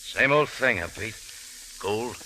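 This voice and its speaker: A wry, animated hardboiled adventurer. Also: male voice, on the low side, slow, slight background noise, heavily acted, poor audio. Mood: concerned, wry. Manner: clipped hardboiled slang, wry. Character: tough, wry, world-weary male adventurer narrating his story. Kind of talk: 1940s-50s radio drama, first-person narration. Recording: old radio recording with music.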